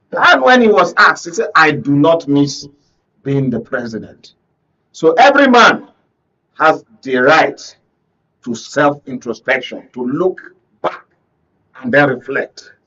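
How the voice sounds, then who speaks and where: High-pitched Nigerian man, emphatic political commentary. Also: bright, forceful tone, muffled recording. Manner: emphatic, rhetorical, checks listeners follow with questions. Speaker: panel guest, conflict reporter. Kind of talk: political commentary on a talk show. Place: radio and TV studio discussion.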